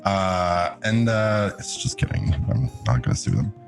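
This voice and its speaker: A low-voiced man from Canada, a native French speaker, talks through his streaming hobbies in a relaxed, chatty way. He laughs easily and his pitch leaps up when he gets excited. Faint music hums underneath. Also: unhurried. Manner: chatty, laughs often, animated jumps in pitch. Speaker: podcast guest, streamer. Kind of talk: casual chat.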